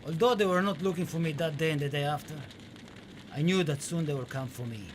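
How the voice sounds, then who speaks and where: A man playing a haunted Spanish poet in a radio play, speaking in dramatic, deliberate, poetic phrases on a noisy recording. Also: fairly high pitch, lightly acted. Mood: fearful, urgent. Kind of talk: radio play monologue with recited poetry.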